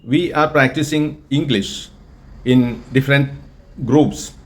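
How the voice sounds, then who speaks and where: Indian man, Malayalam accent, mid-pitched voice, earnest English tutor, deliberate and repetitive. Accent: Indian, Malayalam-influenced. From Kerala, India. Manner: earnest, deliberate, repeats and restarts phrases. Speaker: English tutor and group organizer. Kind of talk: spoken English lesson with an AI chatbot.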